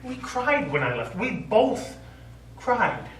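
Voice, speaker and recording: An actor on stage playing a middle-aged American war veteran, remorseful and lovelorn, speaking in a halting, earnest, pleading way. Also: male voice, high voice, very animated, a bit bright, heavily acted. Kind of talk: stage play acting. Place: small theatre stage. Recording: echoey theatre stage, distant mic, faint noise.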